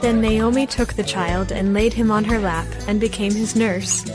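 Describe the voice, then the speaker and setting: A woman's voice: mid-range voice, steady tone, moderate pace, music in the background. A woman giving a scripted narration of scripture as the narrator of a dramatized audio production.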